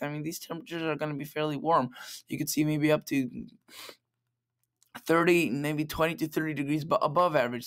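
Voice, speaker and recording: Man, slightly raspy, laid-back weather vlogger walking through forecast maps. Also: a little high, fairly bright tone. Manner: chatty, loose, talks through model maps. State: slightly raspy late at night. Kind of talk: casual weather forecast vlog. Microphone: plug-in microphone.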